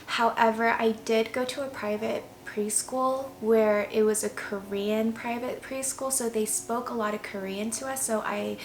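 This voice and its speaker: Gravelly-voiced young woman, casual and chatty. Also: a little high, fairly even intonation, unhurried, hardly any pauses. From United States. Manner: candid, chatty, many "like"s and "um"s. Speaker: vlogger. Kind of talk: casual personal storytelling.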